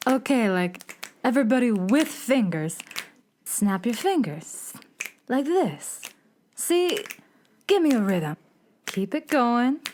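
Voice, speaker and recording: A woman's voice: a little high, sing-song, slow pace, long pauses, darkish tone, a little hiss or hum. A woman playing a laid-back beatnik cool cat from the moon in a children's radio play, talking in hip, drawling slang. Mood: cool, instructing.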